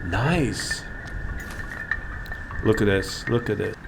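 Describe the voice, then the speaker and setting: Guy chatting thru a video game, kinda rambly thinking out loud, bit of noise on the mic. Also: on the low side, expressive, very slow, vocal fry. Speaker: video host, gamer. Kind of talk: casual gameplay commentary.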